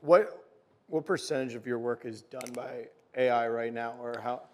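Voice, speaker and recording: Male panel moderator, medium pitch, conversational and halting, loosely worded questions. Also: fairly even intonation. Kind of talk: conversational on-stage interviewing. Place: stage at a conference.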